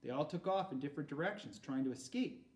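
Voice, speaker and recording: A man's voice: a little high, lively intonation, fast, a little mellow, close to an everyday voice. A man voicing a solemn, protective storybook father, grave and patient in his explanations. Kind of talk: read-aloud storybook character. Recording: roomy echo, far from mic.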